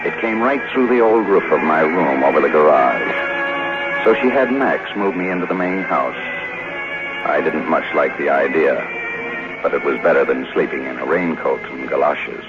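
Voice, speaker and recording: A man's voice: rumbling low, expressive, moderate pace, over loud music. An actor playing a cynical, world-weary kept man, telling his story wryly in a 1950s radio drama. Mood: wry narration. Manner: wry, world-weary, hard-boiled. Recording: dull 1950s radio broadcast recording.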